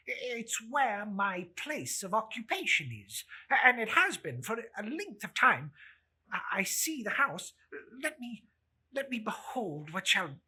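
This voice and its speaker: A frightened old miser played by a woman in a dramatic storybook reading. The voice is raised and husky, stammering over words and beseeching. It swings widely in pitch with a forceful edge. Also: exaggerated voice. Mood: agitated.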